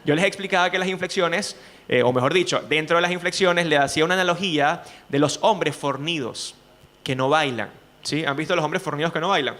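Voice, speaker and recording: A man with a Venezuelan accent, a journalist speaking to students in an animated way, often asking rhetorical questions. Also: fairly high pitch, a little fast. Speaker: journalist and speaking coach, guest. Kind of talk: lively talk to students.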